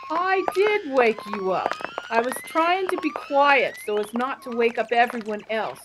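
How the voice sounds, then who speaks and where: A woman's voice: a little high, animated, a little slow, background noise. A woman playing a naive, chatty, nagging tourist in a 1940s-style radio comedy. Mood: scolding.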